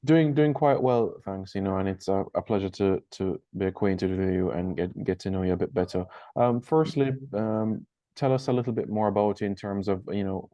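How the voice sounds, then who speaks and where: A man asking conversational interview questions in a measured, halting way. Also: lowish voice, dark tone, dry recording. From Jamaica. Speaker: sports interviewer. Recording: slightly dull lo-fi call audio.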